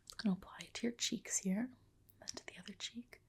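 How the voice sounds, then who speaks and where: A woman whispering softly and breathily in an ASMR roleplay as a spa skin specialist, gently explaining and reassuring. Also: mid-range voice, steady tone, fairly quick, slightly rough. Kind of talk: ASMR roleplay, personal attention.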